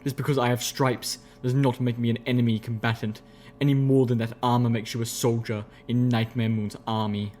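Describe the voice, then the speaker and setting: A man's voice: mid-range voice, little pitch movement, a little fast, darkish tone, close to an everyday voice. A man voicing a proud, wary female zebra, a guarded newcomer in an audiobook fantasy story. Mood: nettled, defiant.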